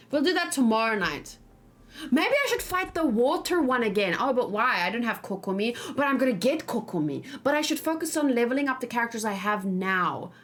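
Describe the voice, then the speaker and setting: Excitable high-pitched woman streaming a game. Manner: excitable, exclaims and repeats phrases, thinks aloud. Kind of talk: casual let's play chat and live game commentary.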